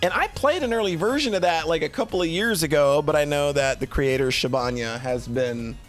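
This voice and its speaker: A man giving casual, unscripted commentary as a streamer, halting often and repeating or correcting words as they go. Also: on the high side, soft background music. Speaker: streamer reviewing visual novels.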